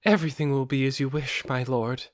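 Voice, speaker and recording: A man's voice: mid-range voice, fairly even intonation, a little fast, dry recording, clean studio sound, close to an everyday voice. A man voicing a devoted demon woman in an audiobook, a courteous servant who speaks politely and deferentially to her master. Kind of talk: audiobook character voice.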